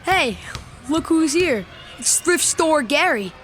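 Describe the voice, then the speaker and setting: A woman's voice: sits high, animated, slow pace, a bit bright, soft background music, full character voice. A woman acting a mean, taunting schoolboy bully in an audio drama. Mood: sneering. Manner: sneering, taunting.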